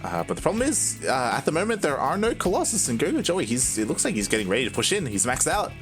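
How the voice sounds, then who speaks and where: Man casting a strategy game, animated pitch, conversational with fillers, game music behind. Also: fast. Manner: conversational, filler-heavy, chuckling. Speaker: esports caster and producer. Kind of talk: live game commentary. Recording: commentary over game music.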